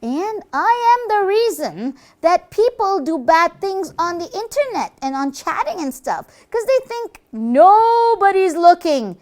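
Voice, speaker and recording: A woman's voice: very high voice, big pitch swings, unhurried, hardly any pauses, darkish tone, voice pushed up, full character voice. A woman voicing a boastful, gleeful devil in a puppet show, brash and chatty, repeating words for emphasis. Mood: gloating, boastful.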